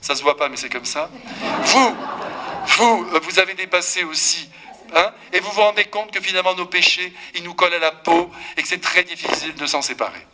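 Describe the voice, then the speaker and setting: Un prêtre d'une cinquantaine d'années prêche un sermon avec vivacité, taquine l'assemblée et s'adresse directement à elle. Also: male voice, high voice, fairly quick. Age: 50s. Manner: lively, teasing, talks straight to the congregation. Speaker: priest.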